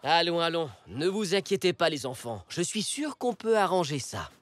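A man's voice: fairly high pitch, sing-song, moderate pace, fairly bright tone, dry recording. An actor voicing a kindly, reassuring father zebra in a children's cartoon, with music under the dialogue.

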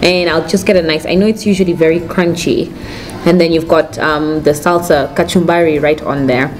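A woman's voice: deep voice, fairly even intonation, moderate pace, a little hiss or hum. A young adult woman with a Kenyan accent, casually chatting as a food vlog host in an informal way. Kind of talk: casual restaurant review chat to camera. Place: indoors at a restaurant table. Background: music.

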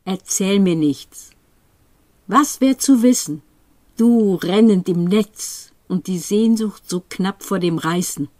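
A woman giving an expressive, dramatic recitation of poetry, with deliberate phrasing that moves between forceful exclamation and hushed drops. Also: mid-range voice. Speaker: poetry reader.

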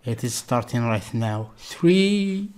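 A man's voice: medium pitch, expressive, slow, a little mellow, smooth. A man in his thirties with an Algerian accent and non-fluent English gives effusive, casual reaction commentary, often repeating words and phrases. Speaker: reaction video host.

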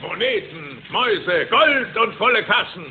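Gloating cartoon duck money-grubber, high and animated. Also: male voice, measured pace. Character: greedy, gloating rich duck tycoon villain. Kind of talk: cartoon audio drama acting. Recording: dull, noisy cassette tape.

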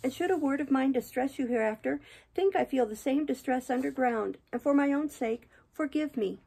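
A woman reading aloud and voicing a feverish, dying young woman, lifting her voice high in bitter, imploring speech. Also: fairly quick, gravelly, dark tone, husky, full character voice, poor audio. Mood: pleading.

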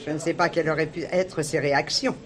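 An actress playing a middle-aged merchant's wife in a French radio crime drama, sharp-tongued, gossipy and disdainful. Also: female voice, low voice, steady tone, fast, natural voice. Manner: gossipy, disdainful, bossy with staff.

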